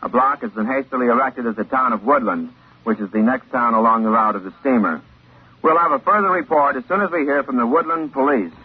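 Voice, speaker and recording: An actor playing a sober highway patrol announcer reading formal bulletins in a 1950s radio drama, with a husky voice. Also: male voice, a little fast, noisy background, close to an everyday voice. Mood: official, sober. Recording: thin, tinny 1950s radio recording.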